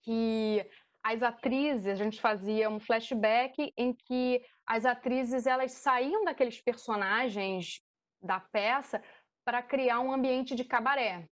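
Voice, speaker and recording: A woman's voice: on the high side, steady tone, slow pace. A woman with a Brazilian accent, speaking as a theatre director and guest, explaining things off the cuff in an online debate.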